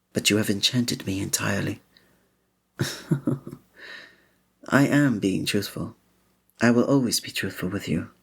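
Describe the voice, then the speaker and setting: Man playing a soft, breathy, gallant fantasy innkeeper. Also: fairly low pitch, full character voice. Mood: flirtatious, tender. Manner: formal, old-fashioned, gallant, gentle phrasing. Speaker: ASMR roleplay performer. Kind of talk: scripted romantic ASMR roleplay.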